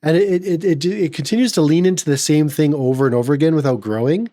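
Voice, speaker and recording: Man getting over a cold, a little gravelly. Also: on the high side, fast, dark tone, no echo, clean studio sound. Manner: opinionated, rambling with repeated restarts. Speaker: podcast host. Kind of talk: casual podcast chat.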